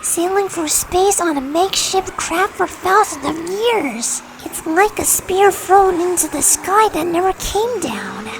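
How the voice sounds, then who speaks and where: A woman's voice: extremely high pitch, expressive, unhurried, hardly any pauses, noisy background, exaggerated voice. A woman voicing a brainy, earnest young boy fox who explains things in a cartoon audio drama. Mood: awed explaining.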